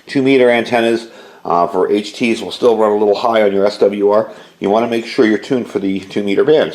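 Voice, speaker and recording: Low-voiced man in an echoey room. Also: a little mellow. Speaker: product reviewer and ham radio hobbyist. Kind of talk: casual product review, demonstrating features. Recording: echoey room with faint background noise.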